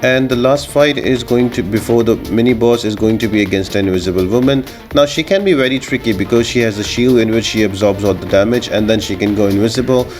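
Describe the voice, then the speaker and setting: A man's voice: fairly low pitch, fast, hardly any pauses, a little mellow. A man giving informal, instructional commentary as the host of a video game guide. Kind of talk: gameplay tips commentary, explanatory. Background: music.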